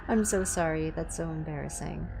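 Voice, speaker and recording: A woman's voice: low-pitched, moderate pace, creaky, noisy background. A woman hosting a reading livestream, moving between scripted narration and casual chat, with polite, careful, formal diction. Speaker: livestream host reading horror fiction aloud.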